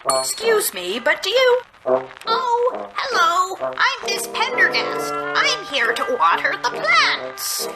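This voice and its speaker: Super high swoopy voiced dotty snooty game lady, easily flustered, loud music behind. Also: big pitch swings, slow, gravelly, echoey room, exaggerated voice, low-quality recording. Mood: absent-minded. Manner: indignant, scatterbrained, theatrical. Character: flustered, haughty, forgetful plant-watering lady. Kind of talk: musical adventure game acting, part sung.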